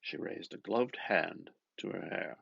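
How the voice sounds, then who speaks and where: A man reading a novel aloud with expressive, dramatic narration, musing and often dropping into a hushed murmur. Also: low-pitched, unhurried, creaky, dull audio, background noise. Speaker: reader. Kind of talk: expressive literary audiobook narration.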